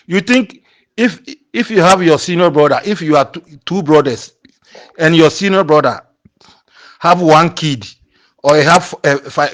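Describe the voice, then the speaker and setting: A man's voice: fairly high pitch, fairly bright tone. A man ranting in a recorded voice message, indignant and repetitive, often stumbling and restarting. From Ghana. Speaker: voice note sender.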